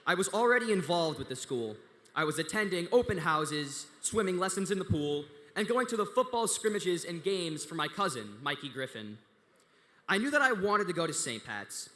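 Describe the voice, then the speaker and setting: A teenage boy with a bright, slightly high voice reads an earnest prepared speech from a podium. His words carry a long reverb through a big banquet hall. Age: teens. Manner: reading earnestly from a script. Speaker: student speaker.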